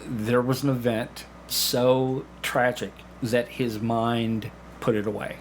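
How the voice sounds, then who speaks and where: A middle-aged man speaking as an interview guest, explaining things conversationally with pauses mid-sentence. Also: mid-range voice, level tone, slight background noise. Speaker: podcast guest, actor and hypnotist.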